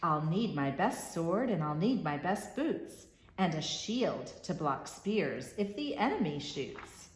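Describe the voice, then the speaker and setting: A woman voicing a bold, excited little girl who plays at being a gallant knight in a storybook. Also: on the low side, slow, few pauses, roomy sound. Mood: eager.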